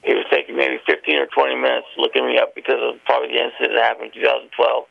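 Gravelly man on a muffled phone line. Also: mid-range voice, flat delivery, racing, no room sound. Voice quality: gravelly, husky. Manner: rambling storytelling. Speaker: guest.

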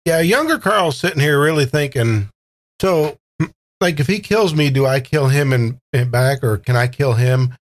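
A man's voice: mid-range voice, moderate pace. A man playing a tabletop role-playing game, chatting casually and thinking his moves through aloud in a playful, hesitant way.

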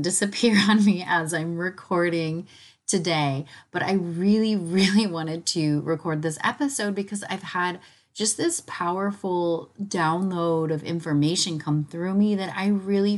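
Woman podcast host, lowish voice bit raspy n hoarse from being sick, chatty informal solo talk. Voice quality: slightly raspy. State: voice recovering from illness, slightly hoarse. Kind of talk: casual spiritual podcast monologue.

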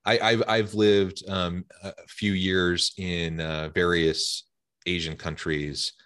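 Deep-voiced, eager podcast host. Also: male voice, little pitch movement, slow, fairly bright tone. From United States. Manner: enthusiastic, filler-heavy conversational hosting. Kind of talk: podcast interview.

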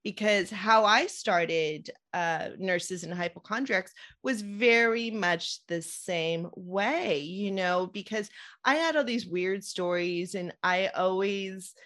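A woman from the United States hosting a podcast, talking in an animated, excitable way with plenty of fillers. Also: medium pitch, slow pace. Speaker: podcast host, nurse practitioner. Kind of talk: casual podcast interview.

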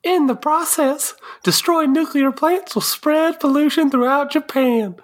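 A man putting on a high, theatrical narrator voice to announce doom in a parody film scene. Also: a little slow. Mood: dramatic.

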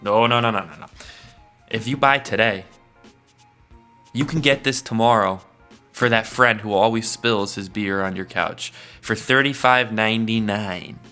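A man's voice: measured pace, pushed and bright, faint music behind. A man as a pushy TV shopping host. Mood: hyped. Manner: salesy, hyped pitch to viewers.

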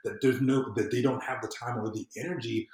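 An American man speaking as a podcast guest in casual conversation, earnest and emphatic, often halting and repeating words. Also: medium pitch, fairly even intonation, a little mellow. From southern United States. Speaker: podcast guest, civic empowerment consultant. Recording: roomy, echoey sound.

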